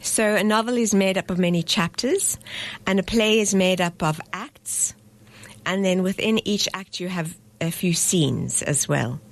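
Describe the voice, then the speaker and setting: A woman from South Africa with a lowish voice talks as a guest in a radio studio. She explains things patiently and earnestly and often pauses mid-thought. Her tone is steady and conversational. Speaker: festival director.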